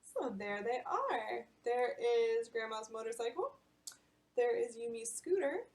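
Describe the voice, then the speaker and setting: A woman librarian reading a picture book aloud at bedtime storytime and explaining it to children in an animated way. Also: fairly high pitch, measured pace.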